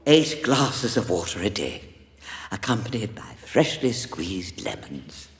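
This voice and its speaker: An actress playing a haughty, condescending chancellor with a pompous, theatrical delivery. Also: female voice, a bass voice, big pitch swings, unhurried, full character voice. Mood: fussy, condescending. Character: haughty, imperious female chancellor, fussy and condescending. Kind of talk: audio drama acting. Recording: echoey hall with long reverb.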